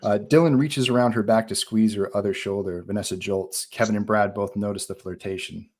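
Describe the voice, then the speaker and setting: A man with a fairly low voice narrates a screenplay at a live read, giving scene directions between lines of dialogue. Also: steady tone, brisk, a little mellow. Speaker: narrator reading stage directions.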